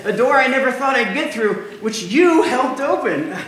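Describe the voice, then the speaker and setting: A man's voice: very high-pitched, expressive, normal speed. A man singing a showtune and then chatting in a live staged musical reading. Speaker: stage actor singing a proud father. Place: theater stage with band. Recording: roomy live stage recording with loud band.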